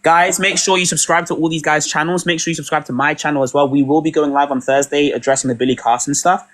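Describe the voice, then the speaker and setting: A man runs a live debate stream at a rapid clip, his voice sitting a little high. He teases callers and presses them with quick, playful jabs. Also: steady tone, fairly bright tone. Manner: fast, mocking and playful.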